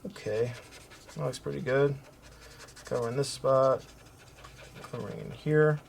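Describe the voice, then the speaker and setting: A man hosting a coloring tutorial, talking casually and softly as he works, thinking aloud with fillers and restarts. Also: mid-range voice, measured pace, gravelly, a little hiss or hum. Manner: relaxed, thinking aloud while working, with fillers and self-corrections; soft-spoken, hoping to sound calming. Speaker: art tutorial host.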